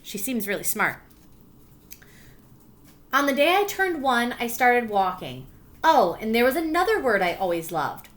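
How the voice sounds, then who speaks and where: A woman's voice: fairly high pitch, big pitch swings. A woman teacher reading a picture book aloud to her class, stopping to explain and check understanding. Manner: warm encouraging teacher voice, pausing to explain.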